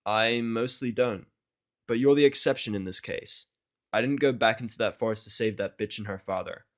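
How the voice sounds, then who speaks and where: A man voicing a sullen, sarcastic, foul-mouthed human outcast in an audio drama, with a gruff, dry, grudging manner. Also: on the low side, moderate pace, a bit bright, lightly acted. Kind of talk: audio drama of fan fiction.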